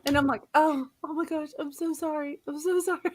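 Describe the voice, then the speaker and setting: A middle-aged woman with a high-pitched voice, co-hosting a podcast and telling stories in casual chat, giggling often. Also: brisk, slight background noise. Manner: giggly, animated storyteller. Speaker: podcast co-host.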